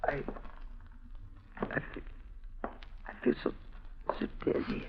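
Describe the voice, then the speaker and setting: An actor playing a drugged young bank clerk in a 1940s radio drama, faltering and woozy. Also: male voice, extremely slow. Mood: dazed, fading. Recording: noisy, dull 1940s radio recording.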